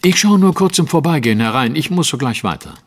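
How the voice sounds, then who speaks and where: An actor playing a self-assured gentleman detective in an audio drama, speaking formally and precisely. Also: a little high, lively intonation, fast, in a large hall, a little hiss or hum. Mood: brisk, pleased. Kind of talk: German audio drama acting.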